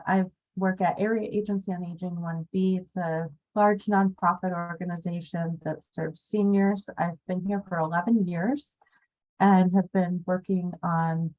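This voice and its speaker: Woman with a cold, sniffly, lowish voice on a muffled dull call. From Florida. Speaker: grant manager, panelist. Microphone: video call. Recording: muffled video call audio.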